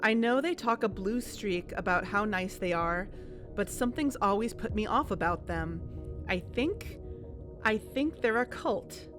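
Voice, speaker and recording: A woman's voice: mid-range voice, lively intonation, measured pace, over music, natural voice. A woman playing an earnest young amateur investigator on a fiction podcast, serious and careful. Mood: uneasy, reading aloud. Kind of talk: fiction-podcast dialogue and narration.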